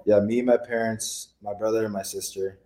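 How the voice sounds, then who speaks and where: A young man from Tanzania answering interview questions in a modest, low-key way. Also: flat delivery, normal speed, dark tone. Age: young adult. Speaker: interview guest, student athlete.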